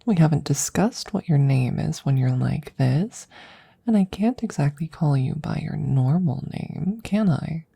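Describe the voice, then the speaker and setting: Breathy, low-pitched woman acting a doting witch. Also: lively intonation, full character voice. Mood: teasing, fond. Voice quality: soft, breathy, husky, often dropping to whispers. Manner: gentle, unhurried, cooing, playfully teasing. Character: affectionate, teasing young witch doting on her werewolf familiar. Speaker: audio roleplay performer. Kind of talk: ASMR roleplay with close whispers.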